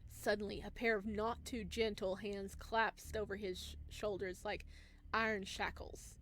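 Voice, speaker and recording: A woman's voice: medium pitch, flat delivery, moderate pace, a bit bright. A woman doing a let's play, reading game text aloud and adding casual reactions. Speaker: host playing and reading a visual novel. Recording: music behind the voice. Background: background music.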